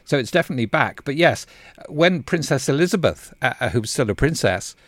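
A man's voice: medium pitch, animated, brisk, no room sound. A man hosting a radio interview, chatting in a conversational way. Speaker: radio host. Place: radio studio.

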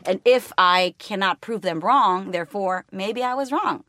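A woman's voice: medium pitch, a little slow, fairly bright tone, dry recording. A woman whose first language is Spanish, co-hosting a podcast in casual chat, searching for words and restarting phrases earnestly. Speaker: podcast co-host.

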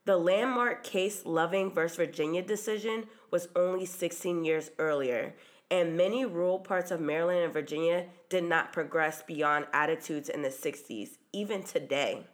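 A woman's voice: fairly low pitch, moderate pace. A woman hosting a true crime podcast, narrating in a casual, chatty way with occasional ums, her voice stuffy from a cold. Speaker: podcast host.

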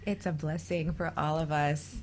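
A woman's voice: low-pitched, steady tone, moderate pace. A woman hosting a radio talk show on relationships, delivering semi-scripted introductions and chat as a host and coach.

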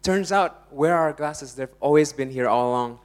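High-voiced preacher. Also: male voice, moderate pace. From Indonesia. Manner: lively, jokey, laughs often, rises to shouts. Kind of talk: sermon to a live congregation.

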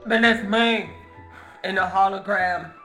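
A man acts out a mock-menacing villain creature for a radio comedy. He is taunting and petty, high-pitched, slow and sharp, with room echo around him. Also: steady tone. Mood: smug announcing. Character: Koopa, taunting, petty giant-headed villain monster. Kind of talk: improvised comic radio play. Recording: echoey room, faint music behind.

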